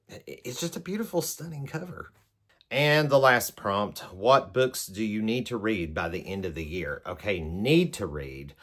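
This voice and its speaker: A man's voice: low-pitched, a bit bright. A man vlogging as a book reviewer, talking casually to camera in a rambling, hesitant way as he works through prompts. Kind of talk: casual chat to camera, answering numbered prompts.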